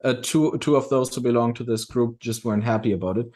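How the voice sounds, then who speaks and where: Man talking haltingly and reflectively. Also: medium pitch, a little mellow. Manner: halting, reflective, often restarting phrases. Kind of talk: casual discussion.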